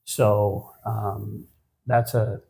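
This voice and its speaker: Low, mellow-voiced man speaking reflectively. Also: flat delivery, vocal fry, slight background noise. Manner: measured, reflective, with frequent ums and restarts. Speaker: guest, managing partner of an accounting firm. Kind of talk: conversational podcast interview.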